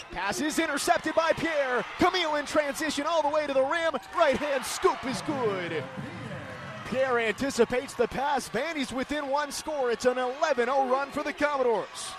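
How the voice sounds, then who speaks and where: A man calling live sports play-by-play in punchy, crisp announcer phrasing. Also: very high voice, normal speed.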